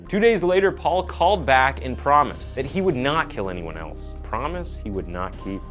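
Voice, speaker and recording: A man's voice: medium pitch, very animated, normal speed, a bit bright. A man reading a scripted true crime documentary narration as its host. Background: background music.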